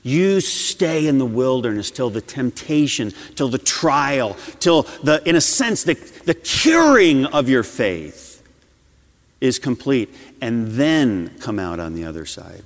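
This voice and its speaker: Male pastor preaching a fervent sermon, lively voice, reverberant church sound. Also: medium pitch, slow pace. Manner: impassioned preaching, swings between loud and hushed. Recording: long hall reverb.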